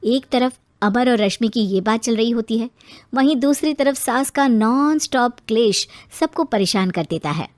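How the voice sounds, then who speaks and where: High-voiced woman narrating a moral story. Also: animated, normal speed, darkish tone, no room sound, studio quality.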